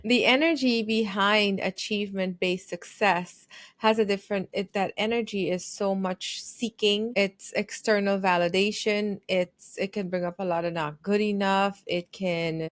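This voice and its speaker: A woman's voice: mid-range voice, steady tone, a little slow, dry recording, studio quality. A woman from Guyana hosting a remote podcast, asking long, winding, earnest questions. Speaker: podcast host. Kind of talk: conversational interview hosting. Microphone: remote call recording.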